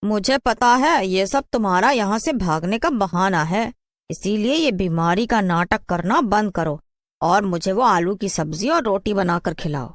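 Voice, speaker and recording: A woman's voice: mid-range voice, expressive, a little slow, no room sound, clean studio sound, pitched below the performer's own voice, natural voice. A woman voicing a bossy, scheming wife with a haughty, mean manner in a cartoon story. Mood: stern. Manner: commanding, mocking.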